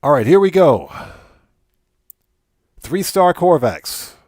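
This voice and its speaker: A man with a somewhat high voice hosts a casual gameplay livestream. His intonation swings widely as he comments on play, speaking in exclamations and repeating short phrases for emphasis. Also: very animated, slow pace, darkish tone, dry recording, clean studio sound. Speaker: livestream host.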